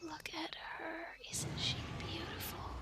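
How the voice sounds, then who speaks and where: A woman's voice: very high-pitched, monotone, a little slow. A woman acting a chatty young island castaway who loves animals, in an intimate, rambling ASMR roleplay with hesitant, earnest explanations. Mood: hushed, awed whisper.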